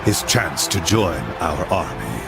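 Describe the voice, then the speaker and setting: An actor playing an imperious, menacing lord in a video game, solemn and deliberate with a deep gravelly voice. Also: male voice, very animated, exaggerated voice. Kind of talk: video game cutscene acting. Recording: very loud music behind.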